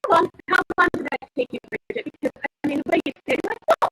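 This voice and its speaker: High-voiced forties woman hosting. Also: animated, fast, loud music behind the voice, rough recording. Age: 40s. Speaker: host. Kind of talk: casual interview conversation. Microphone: online video call. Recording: faint noise on online call.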